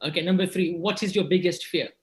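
A man's voice: sits high, fairly even intonation, fast. A man hosting an interview, asking a list of questions with a playful, teasing touch. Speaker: interviewer, host.

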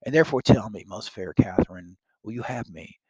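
A man's voice: on the low side, fairly quick, a little mellow, slightly rough, dry recording, rough recording. A man reading as a bold young king wooing. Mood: ardent. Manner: earnest, declamatory reading of old verse.

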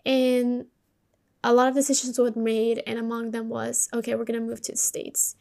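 A woman from Colombia whose first language is Spanish hosts a podcast alone. Her voice sits fairly high and stays rather even in pitch. She talks candidly and informally, as if telling her own story to a listener. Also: moderate pace, gravelly. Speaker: podcast host. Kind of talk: personal storytelling, conversational monologue.

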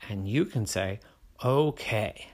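A man's voice: on the low side, expressive, slow. A man voicing a bossy but well-meaning big sister who scolds and coaxes her grumpy brother. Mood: coaxing. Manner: scolding, encouraging, insistent.

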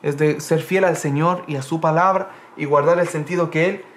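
High-voiced man teaching a Bible study. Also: steady tone, moderate pace. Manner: repeats phrases, punctuates with "amén" and "¿ah?". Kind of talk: casual Bible study livestream. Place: home in Canada.

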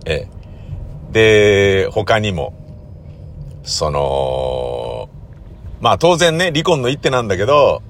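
A man with a slightly low voice and very animated intonation talks casually on his own as a podcast host. He moves in halting, drawn-out phrases, often seeking agreement. A faint noise sits behind him. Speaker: host of a regular podcast, radio drama director. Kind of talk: casual solo talk, podcast diary.

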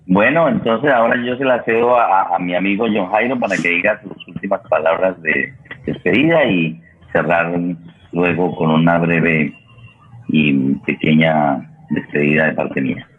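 A Colombian man hosting a radio theatre programme, making halting, unscripted announcements and repeating phrases as he searches for words. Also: low voice, slight background noise. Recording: muffled, phone-like radio connection.

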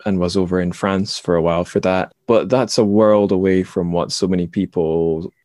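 Low-voiced male podcast host, dry sound. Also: steady tone, normal speed, dark tone, dry recording. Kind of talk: reflective conversational interview.

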